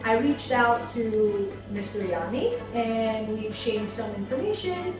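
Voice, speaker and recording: A woman who owns a business, giving a thoughtful testimonial with many ums and pauses. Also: a little high, expressive. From United States. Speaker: seminar attendee, business owner. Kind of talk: testimonial interview. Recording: echoey room, mic far off, music behind.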